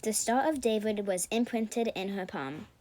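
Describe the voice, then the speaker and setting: A woman's voice: medium pitch, lively intonation, moderate pace, a little mellow. A woman reading scripted story narration for a puppet show, in a slightly noisy home recording.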